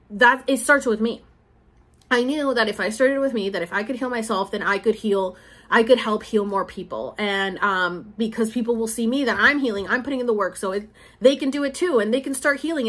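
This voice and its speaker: A woman's voice: on the high side, a bit bright. A young woman from Puerto Rico giving a rambling, filler-heavy monologue update, repeating herself for emphasis. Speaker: spiritual vlogger.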